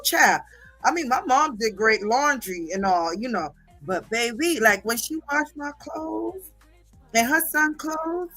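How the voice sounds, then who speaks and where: Sassy, animated middle-aged New woman. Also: a little high, no room sound, low-quality recording. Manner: animated, sassy, slangy, drawn-out emphatic words. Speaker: livestream host reading viewer comments. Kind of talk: casual chatty storytelling.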